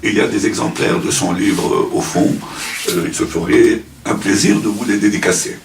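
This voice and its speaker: Host, male, very low gravelly voice, hesitant, recorded from afar in an echoey room. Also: steady tone. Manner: halting, many hesitations. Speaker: event host. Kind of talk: introducing a speaker at a public debate. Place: meeting hall. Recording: echoey room from far off, noisy.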